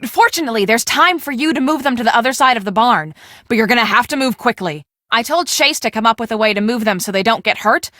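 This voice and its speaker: An actress playing a brisk, bossy young woman on a storm-chasing team in a video game, speaking emphatically and expressively. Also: a little high, lively intonation, pushed and bright, no echo, clean studio sound. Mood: friendly, businesslike. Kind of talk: scripted video game dialogue.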